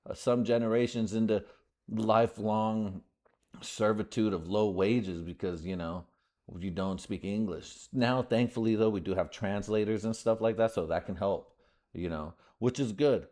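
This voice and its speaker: A man's voice: on the low side, moderate pace, mellow. A man born in the United States hosting a podcast, rambling casually in slangy, profane talk with lots of fillers. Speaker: podcast host.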